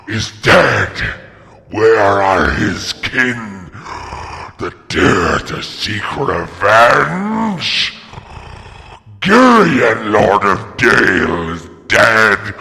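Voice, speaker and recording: A man playing an ancient, huge dragon in a storybook reading, wicked, mocking and boastful, in a drawn-out theatrical delivery with cavernous reverb. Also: fairly low pitch, vocal fry, bright, forceful tone, heavily acted. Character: ancient, huge male dragon, wicked, wily, gloating and menacing. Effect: added cavernous reverb. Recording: long cavernous reverb effect.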